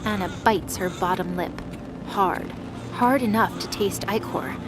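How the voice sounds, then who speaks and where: A woman's voice: medium pitch, a little slow. A woman narrator reading a scripted mythological drama as story narration. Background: music.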